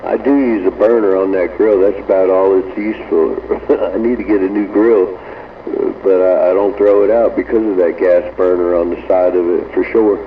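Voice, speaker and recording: A man's voice: deep voice, steady tone, moderate pace. A middle-aged man with a Southern American accent, chatting in a folksy way over CB radio. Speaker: amateur radio operator. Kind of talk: casual radio chat. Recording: tinny, distant, noisy CB radio reception.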